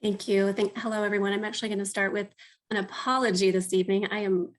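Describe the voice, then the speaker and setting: A woman in her thirties running for school board, hoarse and stuffy from a cold, speaking in a friendly, apologetic way over a video call. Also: mid-range voice, steady tone, fast.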